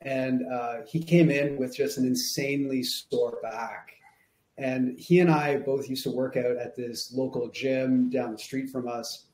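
A man in his thirties guests on a podcast, friendly and a bit halting as he gathers his thoughts. His voice is mid-pitched and ordinary. It carries the echo of a bare room over a video call. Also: fairly even intonation, darkish tone. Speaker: guest, chiropractor. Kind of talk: casual interview chat. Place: plain room, indoors. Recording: echoey room.

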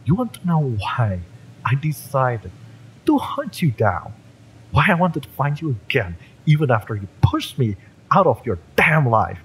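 A man playing a fiery, wounded mercenary in a romance audio roleplay, bitter but lovesick, speaking emotionally and haltingly with sighs and drops into whispers. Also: mid-range voice, sing-song, a little hiss or hum, low-quality recording. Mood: angry, confrontational.

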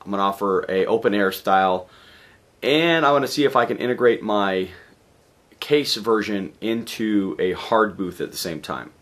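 A hoarse man in his forties speaks in a fairly low voice, worn by a cold. He rambles casually with plenty of fillers, recorded on a camera mic in a home garage. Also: moderate pace, fairly bright tone. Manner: rambling, chatty, lots of fillers and restarts. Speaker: DJ vlogger. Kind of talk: casual video update. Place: home garage workshop, indoors.

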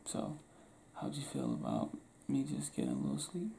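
Soft-whispering young man, gravelly. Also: extremely low pitch, wildly swooping pitch, normal speed, a little hiss or hum. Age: young adult.

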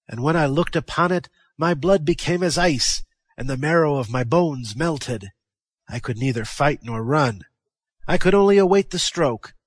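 A man voicing a fierce, wounded warrior, loud and fervent in a declamatory way, raised in pitch above his narrating voice. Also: husky, no echo. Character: fierce wounded male warrior, exultant and vengeful.

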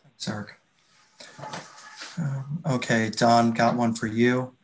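A middle-aged man moderates an online webinar with little melody in his voice. His pitch is mid-range and he reads questions out in a measured, slightly hesitant way. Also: steady tone, noisy background. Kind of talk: reading out audience questions in a webinar. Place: indoors on a video call. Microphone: computer video-call microphone.

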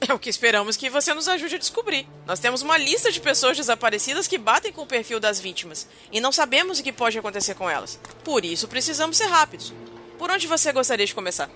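An actress playing a brisk, no-nonsense policewoman leading a case in an audio drama. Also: high-pitched, sing-song, pushed and bright, heavily acted. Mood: urgent, determined.

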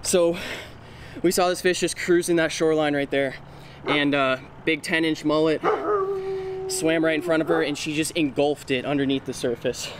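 A young man vlogging outdoors while wading in water, excitable and out of breath, talking into an action camera mic with outdoor noise behind him. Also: fairly high pitch, normal speed. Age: young adult. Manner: excitable, exclaiming loudly at catches. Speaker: fishing vlogger. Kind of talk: excited outdoor vlog narration.

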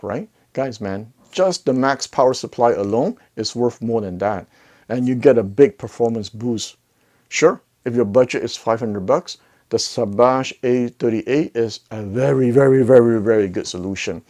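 A middle-aged man presents a review in a conversational style. His pitch is average for a man, and he leans on key words, repeating them for emphasis. He speaks into a clip-on mic. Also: dark tone. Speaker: host of an audio gear review channel. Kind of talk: casual product review to camera. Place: living room listening space with acoustic wall panels. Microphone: clip-on lapel mic.

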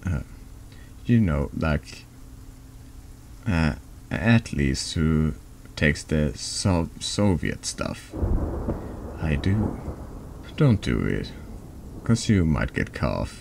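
A man reading a horror text game aloud in a soft ASMR narration style, halting and stumbling over longer words. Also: low voice, gravelly, a little hiss or hum.

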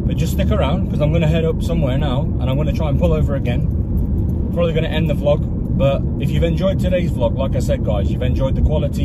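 A man in his thirties chats to his vlog audience in an informal, off-the-cuff way. His voice sits at an average male pitch. It is caught on a phone with clear ambient noise from outdoors or a car. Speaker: vlogger. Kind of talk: casual vlog commentary to viewers. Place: outdoors in open countryside and inside a car. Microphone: phone camera.

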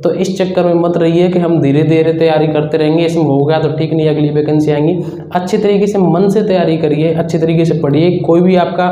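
A young man with a high, mellow voice coaches students informally and earnestly, going back over his points. The recording is echoey and a little muffled. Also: steady tone, fairly quick. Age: 20s. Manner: repetitive, earnest advising. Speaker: exam-preparation coach and video host. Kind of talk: informal explainer talk to students. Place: small indoor room with whiteboard. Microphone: clip-on lapel mic. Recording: echoey room, dull muffled sound.

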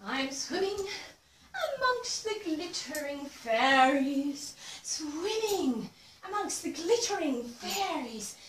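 Woman, very high voice, lively sing-song, unhurried, roomy echo, distant mic. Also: a little hiss or hum. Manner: gentle, encouraging, chanting. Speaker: movement teacher leading a child. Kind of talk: playful sing-song kids' movement session. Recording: echoey room, distant mic.

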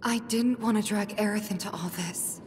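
A woman's voice: mid-range voice, normal speed, darkish tone, a little hiss or hum, lightly acted. A young American woman playing an earnest, caring, athletic fighter in a video game. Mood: worried, urgent. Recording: long reverb, game music behind.

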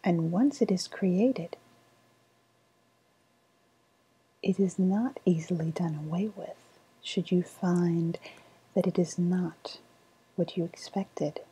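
A woman playing a sly woodland witch in an ASMR roleplay, speaking in a soft, breathy whisper with a deliberate, coaxing manner. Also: on the low side, full character voice, poor audio. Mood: grave, cautioning. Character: sly woodland witch, dealing in dark love magic.

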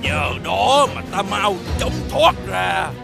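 An actor playing a gloating male bat-winged demon in an animated fairy tale, menacing and taunting. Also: sits high, creaky, full character voice. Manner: menacing, taunting, drawn-out delivery. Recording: loud music behind the voice.

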